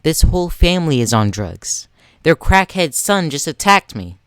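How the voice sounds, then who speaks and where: A man's voice: on the high side, big pitch swings, normal speed, clear, resonant tone, dry recording, studio quality, pitched above the performer's own voice. A teenage boy voicing an entitled, angry mother in a story, indignant and accusing. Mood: outraged. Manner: indignant, accusing, bursting into shouts.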